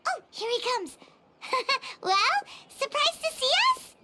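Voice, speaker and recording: A woman voicing a small, childlike, nosy fairy companion in a video game, squeaky and exaggerated in a cartoonish way. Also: expressive, slow pace, very noisy, full character voice. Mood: cheerful. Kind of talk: video game character acting.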